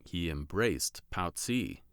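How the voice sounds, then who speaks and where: A man's voice: sits low, animated, slow, fairly bright tone, no room sound, clean studio sound. A man reading a children's story aloud as a scripted narration.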